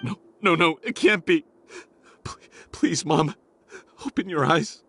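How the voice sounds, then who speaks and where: A man's voice: a little high, a little slow, creaky, a bit bright, faint music behind. A man voicing a desperate young son, pleading and faltering, in a video game drama. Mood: desperate, grieving.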